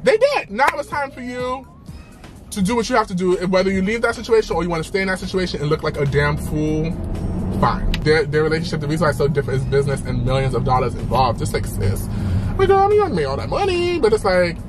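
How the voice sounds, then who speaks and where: A young man chatting casually to the camera while driving, sassy and animated, his pitch swinging up high for emphasis. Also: quick pace, music in the background. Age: young adult. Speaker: vlogger. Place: inside a car with a sunroof. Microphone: camera mounted on dashboard.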